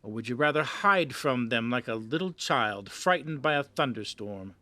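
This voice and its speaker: Man acting a pushy, animated magazine boss. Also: mid-range voice, normal speed, no echo. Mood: coaxing. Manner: theatrical, cajoling, patronizing. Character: pushy, persuasive male magazine editor boss, patronizing. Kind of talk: amateur audio drama acting.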